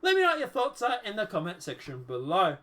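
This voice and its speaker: A man's voice: sits high, wildly swooping pitch, fast, bright, forceful tone. A man giving casual political commentary while reading from a document, dismissively trailing off with repeated filler.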